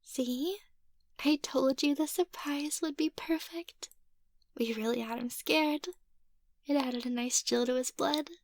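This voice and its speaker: Woman doing a girlish vampire mistress for asmr roleplay, high voice, bubbly and eager, really dry close sound. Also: slow pace, no room sound. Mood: smug, playful. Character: playful, excitable young girlish vampire mistress.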